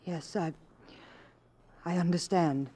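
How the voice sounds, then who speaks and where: An actress playing an earnest, anxious, principled woman in an old radio drama, speaking clearly and pleadingly. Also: fairly low pitch, steady tone, slow pace, mellow, no echo. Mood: hushed, worried. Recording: vintage radio broadcast with music.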